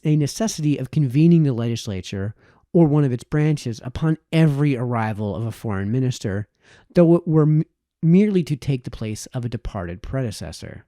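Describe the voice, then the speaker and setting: A man narrates a formal historical essay in a mellow, relaxed tone. His phrasing is measured and oratorical, with animated rises and falls in pitch. The sound is very dry, with next to no room echo. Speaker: audiobook reader. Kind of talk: read-aloud of a formal historical political essay.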